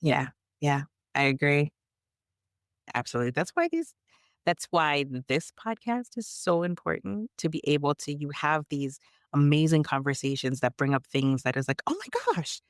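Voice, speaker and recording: A woman's voice: deep voice, sing-song, moderate pace, dry recording, studio quality. A woman from Canada chatting on a podcast as a storyteller guest, emphatic and reflective in how she talks. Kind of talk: conversational podcast chat.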